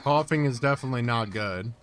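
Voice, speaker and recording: A man's voice: mid-range voice, a little fast, a bit bright. A man doing casual reaction commentary as a streamer, thinking aloud and reading text off the screen. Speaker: streamer reacting to an analog horror series.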